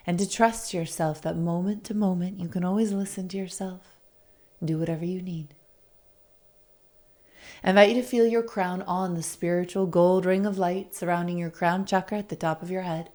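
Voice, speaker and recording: A woman leading a guided meditation in a soothing, deliberate, gently inviting manner. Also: medium pitch. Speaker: guest meditation facilitator.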